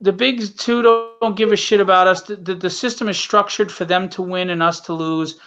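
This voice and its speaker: A man's voice: high voice, fairly even intonation, brisk, over music. A man speaking casually and bluntly as a guest comic writer, earnest and opinionated, with salty language, over an online call. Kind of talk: casual, opinionated podcast talk. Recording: dull, lo-fi online call audio.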